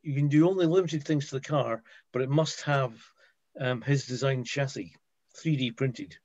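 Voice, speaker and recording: A man giving a halting, casual technical explanation on a group video call, repeating words as he goes. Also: mid-range voice, a little mellow, no echo. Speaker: participant in a hobby group chat. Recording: dull, muffled video call audio.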